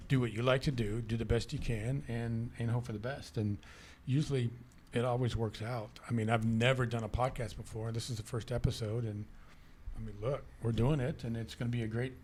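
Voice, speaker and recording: Host: man, mid-pitched, encouraging and teasing, faint hiss behind him. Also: fairly even intonation, fairly quick, a little mellow. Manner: encouraging, teasing, pushes the guest along. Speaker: podcast host. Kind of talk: casual podcast chat.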